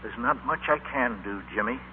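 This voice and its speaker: A man's voice: mid-range voice, normal speed, natural voice. An American man playing a sober, formal family doctor in a 1940s radio drama. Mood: grave. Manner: formal, serious. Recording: tinny, noisy 1940s radio recording.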